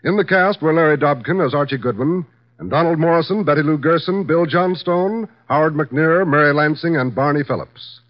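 A male announcer giving a measured, formal closing announcement. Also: fairly high pitch. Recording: dull lo-fi 1950 radio transcription. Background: music.

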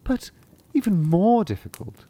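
A man voicing a clever, scheming talking cat in boots, bossy with peasants and flattering to a sorcerer. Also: high-pitched, unhurried, husky, no echo, voice pushed up, heavily acted. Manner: bold, coaxing, commanding.

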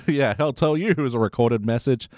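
A man's voice: medium pitch, lively intonation, moderate pace, darkish tone, no echo, studio quality. A man hosting a casual review podcast, chatting in a jokey way and often chuckling. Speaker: podcast host.

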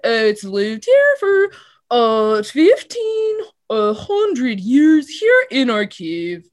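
A woman imitating a male cave tour guide who speaks English with an odd, melodic, slow cadence. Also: sits high, wildly swooping pitch, pitched above the performer's own voice, full character voice. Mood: solemn, sing-song. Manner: slow, lilting, oddly melodic phrasing. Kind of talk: impression within a casual podcast chat.

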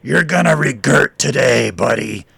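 A man puts on a huge, unhinged biker from a short story. The voice is a gravelly growl, commanding and full of slow menace. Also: medium pitch, expressive, a bit bright, no room sound, exaggerated voice. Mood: menacing, commanding. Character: big burly menacing biker gone manic, commanding.

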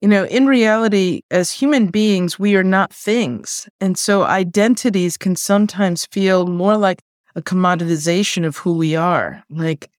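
A woman whose first language is Spanish, a guest on an interview podcast, answering in a measured, thoughtful way. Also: lowish voice, no room sound, clean studio sound. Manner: measured, thoughtful, speaks in long considered phrases. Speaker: guest, diversity executive.

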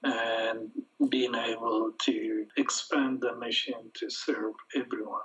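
A man from Lebanon answering interview questions in a measured, formal way with some hesitation fillers. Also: mid-range voice, little pitch movement, fairly bright tone. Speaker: guest, nonprofit executive director. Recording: dull lo-fi audio.

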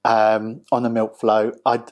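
A man hosting an interview, talking in a conversational, halting way with many restarts and fillers. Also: lowish voice, monotone, a little mellow. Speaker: interview host.

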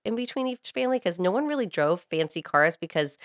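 A woman's voice: on the low side, animated, fast talker, dry recording, clean studio sound. An American woman telling personal stories in a casual, candid, informal way, with some swearing. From Saudi Arabia, raised by a US family on a compound. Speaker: podcast co-host. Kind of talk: casual chat, personal storytelling.

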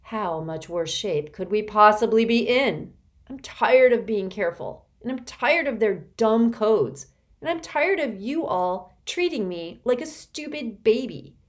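A woman's voice: high-pitched, big pitch swings, moderate pace, pitched above the performer's own voice. A woman reading an audiobook, voicing a cranky, petulant little girl who whines and sneers. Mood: cranky, shrill. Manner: whiny, sneering and shouty. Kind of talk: audiobook character voice.

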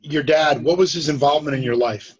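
A man hosting a conversational interview podcast, with a husky voice. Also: a little high, fairly quick, lo-fi sound. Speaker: podcast host.

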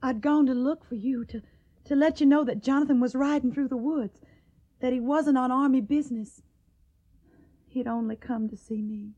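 A woman's voice: high-pitched, normal speed, dark tone, highs cut off. An actress playing an earnest, anxious young woman in a radio drama, pleading and hesitant. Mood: subdued, earnest. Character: earnest, anxious young Southern belle in love.

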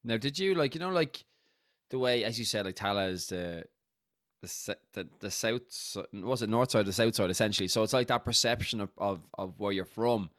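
A man's voice: lowish voice, lively intonation, fairly quick, fairly bright tone, no echo, studio quality. A man with a Dublin accent hosting a podcast, chatting loosely and casually with his guest. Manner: chatty, loose, friendly asides. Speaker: podcast host. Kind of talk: casual interview chat.

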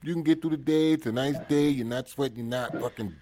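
Blunt, heavily swearing man with medium pitch. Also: fast talker, a crowd in the background. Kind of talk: casual chat.

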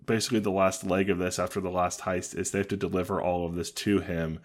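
A man co-hosting a podcast in casual comedic chat, dry and joking, with a deep voice. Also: flat delivery, quick pace. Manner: dry, joking, riffs on bad movies. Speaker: podcast co-host.

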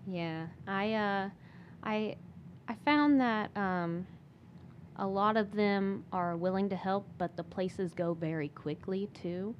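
A woman's voice: mid-range voice, slow, muffled recording, slight background noise. A young woman speaking casually and personally as a guest in an interview.

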